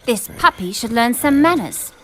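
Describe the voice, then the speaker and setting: An actress playing a confident, sharp-tongued young woman, a professor's assistant, in an animated game. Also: on the high side, moderate pace, slight background noise. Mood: defiant. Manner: composed narration, teasing banter. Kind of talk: animated game cutscene acting and story narration.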